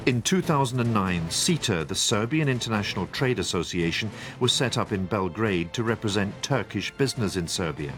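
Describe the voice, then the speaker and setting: A man reporting the news, reading measured, formal narration and putting questions to interviewees. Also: lowish voice, lively intonation, a bit bright, faint music behind.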